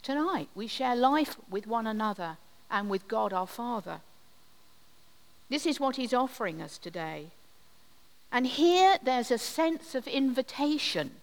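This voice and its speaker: A woman leading a communion service, giving a gentle, reflective sermon with measured pauses. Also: a little high, sing-song. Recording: long hall reverb.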